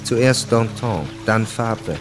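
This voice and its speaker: A man narrating a historical radio drama as a measured storyteller. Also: on the low side, music in the background.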